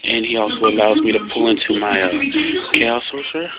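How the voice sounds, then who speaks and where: A man's voice: on the low side, brisk. A man casually talking through his card game deck off the cuff, explaining and rephrasing his points as he goes. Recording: muffled lo-fi home recording, loud music behind. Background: loud music.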